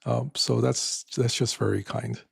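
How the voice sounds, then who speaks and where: An older man with a raspy voice hosting a live stream in casual chat, soft-spoken and halting with many fillers. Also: medium pitch, steady tone, no room sound, clean studio sound.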